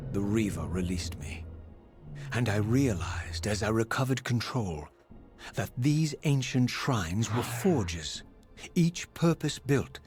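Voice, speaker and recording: A man playing a tormented, eloquent vampire wraith in a video game, narrating with grave, formal, theatrical diction. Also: on the low side. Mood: grave, dramatic. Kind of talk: dramatic scripted game narration.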